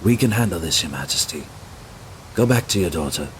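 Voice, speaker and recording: An actor playing a loyal, measured royal guard captain in a fantasy audio drama. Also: male voice, sits low, lively intonation, slight background noise. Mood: gently urging.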